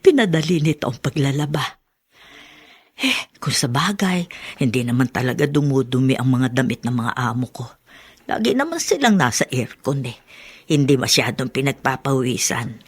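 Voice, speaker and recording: A woman in a radio drama playing a gossipy middle-aged housemaid who comments to herself, with a husky voice. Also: a bass voice, lively intonation, moderate pace, heavily acted. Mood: chatty, content.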